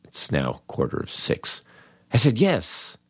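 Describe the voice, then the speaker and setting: An older American man with a low voice tells funny first-person stories in a dry, close recording. His delivery is wry and animated, with dramatic pauses and little bits of acted-out dialogue. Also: husky, no echo. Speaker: podcast host, comedy writer. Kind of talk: humorous first-person storytelling monologue.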